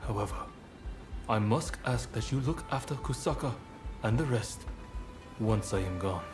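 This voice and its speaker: An actor playing an honorable samurai in a game cutscene, solemn, formal and earnest in manner. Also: male voice, lowish voice, animated, moderate pace, music in the background, lightly acted. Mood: solemn, resigned. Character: solemn, honorable samurai man, loyal and resigned. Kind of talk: dramatic game cutscene acting.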